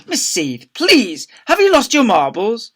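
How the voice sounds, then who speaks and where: Man putting on a fussy scolding lady secretary for a kids book, pitched up, indignant. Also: huge pitch swings, measured pace, bright, forceful tone, exaggerated voice. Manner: exclaiming, protective, scolding. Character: loyal, indignant woman school secretary and nurse.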